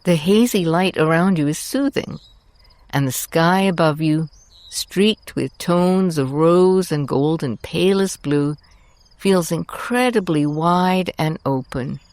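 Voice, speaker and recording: Slow, low-pitched woman guiding meditation. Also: darkish tone, no echo. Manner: soothing, deliberate, with long pauses between short phrases. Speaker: host and meditation guide. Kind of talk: scripted guided meditation narration.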